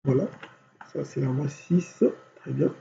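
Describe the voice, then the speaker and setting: A man with a cold teaching design software step by step, thinking aloud and filling gaps with constant voilàs. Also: medium pitch, measured pace, gravelly. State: has a cold. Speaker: software instructor. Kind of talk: screen-recorded software tutorial. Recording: dull lo-fi recording with faint hum.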